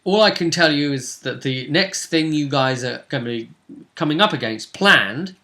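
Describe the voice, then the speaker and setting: A man's voice: a little high, animated, moderate pace, sharp tone. A man acting as game master, casually recapping a tabletop session in a storytelling way.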